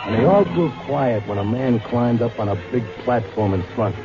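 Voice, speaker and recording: A man's voice: mid-range voice, expressive, moderate pace, close to an everyday voice. An actor playing a cynical, streetwise racetrack gambler telling his strange tale in an old radio drama, colloquial and wisecracking. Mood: dry recounting. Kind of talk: old radio drama first-person narration. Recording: dull vintage radio recording with music.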